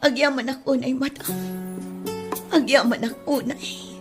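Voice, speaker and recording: A woman's voice: high-pitched, steady tone, a little slow, over music. A woman playing a humble, weary, devoted mother in a radio drama, speaking earnestly and plaintively. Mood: grateful, moved. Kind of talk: radio drama acting in Ilocano.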